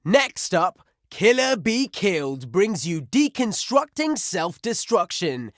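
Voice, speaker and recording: An actor playing a brash, excitable heavy metal radio DJ in a video game, announcing songs and listener requests in a punchy, showy style. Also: male voice, sits high, slow pace, dry recording, studio quality, full character voice. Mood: upbeat.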